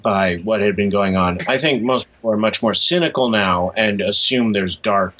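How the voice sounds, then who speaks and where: An American man being interviewed, explaining things casually in a halting, thoughtful way. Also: on the low side, fairly bright tone, lo-fi sound. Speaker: interview guest, author.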